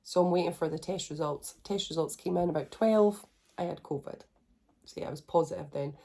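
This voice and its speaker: A woman in her thirties speaks through a blocked, stuffy nose in a casual video diary. Her voice sits a little low, and she talks in a chatty, rambling, self-reassuring way. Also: fairly quick, creaky, mellow. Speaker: vlogger.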